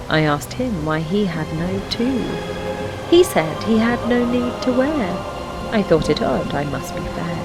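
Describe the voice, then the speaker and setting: A woman with a fairly low voice narrates a fantasy game tale in rhyming verse. Her delivery is measured and poetic, like recited storytelling. Music plays clearly behind her. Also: rough recording. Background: background music.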